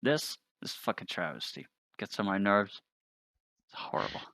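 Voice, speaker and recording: Man playing a deep, grumbling ship's scientist. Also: sing-song, normal speed, gravelly, a bit bright, no room sound, heavily acted. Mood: grumpy, sarcastic. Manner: sarcastic, grumbling. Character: grumpy, foul-mouthed male ship's scientist who hates androids.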